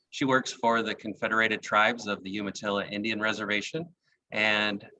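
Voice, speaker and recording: A man acting as program officer and host, giving a measured, formal opening to a town hall. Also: on the low side, sharp tone, a little hiss or hum. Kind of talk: scripted-sounding presentation opening.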